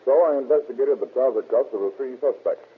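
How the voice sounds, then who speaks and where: An actor playing a confident, methodical police chemist in an old radio drama, precise and matter-of-fact. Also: male voice, mid-range voice, quick pace, husky. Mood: explaining. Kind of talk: old-time radio acting. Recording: muffled, scratchy old radio recording.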